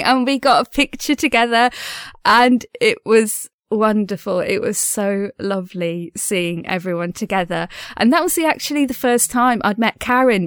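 A woman's voice: high voice, a bit bright, no room sound, studio quality. A woman recording a casual personal audio diary as a podcast host, speaking in an earnest, enthusiastic and effusive way.

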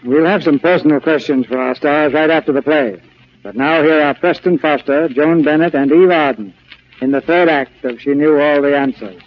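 A man's voice: a little high, moderate pace. A man presenting a 1940s radio play with a scripted introduction. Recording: muffled old radio broadcast. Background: music.

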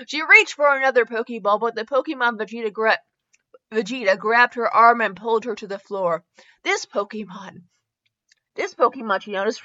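A woman's voice: a little high, fairly bright tone. A woman giving a comedic dramatic reading of fan fiction as a podcast host, giggly and tripping over words. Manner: giggly, stumbles over words and breaks off to comment. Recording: slightly dull, lo-fi recording.

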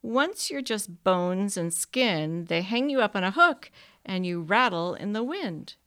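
A woman's voice: medium pitch, animated, a bit bright, close to an everyday voice. Woman doing a precocious little boy. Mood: sober. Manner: earnest, matter-of-fact, quick.

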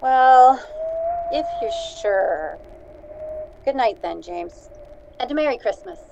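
An actress playing a caring, anxious farm wife in a radio drama. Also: female voice, fairly high pitch, lively intonation, slow, creaky, dull audio, background noise, exaggerated voice. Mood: resigned. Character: worried, caring farm wife, daughter and sister.

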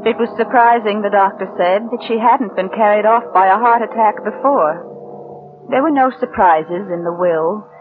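An actress playing a cool, possessive, murderous niece in a radio suspense drama, acting and narrating with composed, deliberate control. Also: female voice, medium pitch, over music, natural voice. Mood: chilly, confiding. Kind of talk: 1940s-50s American radio suspense acting and first-person narration. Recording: tinny old radio recording with noise.

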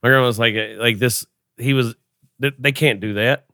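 A man's voice: medium pitch, fairly even intonation, moderate pace, pushed and bright, no room sound. A man hosting a casual podcast, bantering and joking with friends at a home studio mic. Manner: joking, laid-back banter. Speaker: podcast host. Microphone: headphones at a computer mic.

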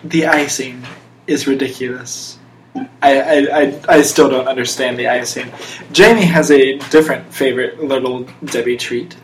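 A man's voice: mid-range voice, steady tone, moderate pace. A young man hosting a casual podcast, talking in a mock-serious, emphatic way. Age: young adult. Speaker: podcast host. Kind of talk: casual podcast chat with playful monologues. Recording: roomy echo, faint background noise.

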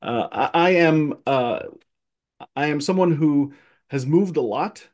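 A man's voice: on the high side, slow pace. A man from Egypt talking as a guest in a casual conversation, earnest and thoughtful, with frequent fillers and restarts. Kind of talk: casual conversation, personal history.

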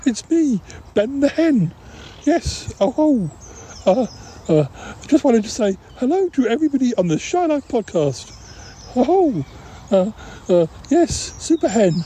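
A man's voice: very high-pitched, sing-song, measured pace, warm tone, slight background noise, exaggerated voice. A man voicing a friendly, dithering hen who clucks and stammers in an audio comedy. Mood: excited, dithering.

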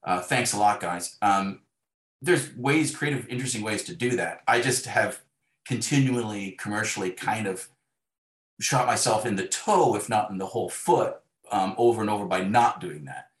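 A man's voice: lowish voice, lively intonation, normal speed, reverberant. A middle-aged man speaking as a novelist in an interview, reflective and discursive, with wry self-deprecation.

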